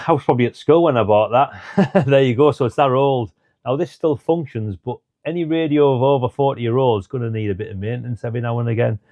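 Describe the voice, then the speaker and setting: Middle-aged British man, lowish relaxed voice, informal hobbyist reviewer chatting through gear, close dry recording. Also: no echo, dull audio. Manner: chatty, informal, thinking aloud. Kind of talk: casual product rundown to camera.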